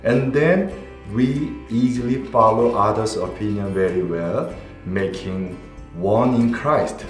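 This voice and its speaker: A man in his forties from South Korea, speaking careful, halting English with a Korean accent. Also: lowish voice. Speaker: interviewee. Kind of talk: reflective testimony. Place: indoor studio with dark backdrop. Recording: roomy with guitar music under. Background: soft guitar music.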